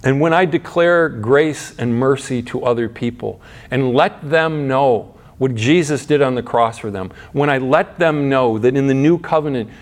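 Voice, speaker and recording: Middle-aged man preaching conversationally. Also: medium pitch. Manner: conversational preaching with frequent restarts and rhetorical questions. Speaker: pastor. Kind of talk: sermon.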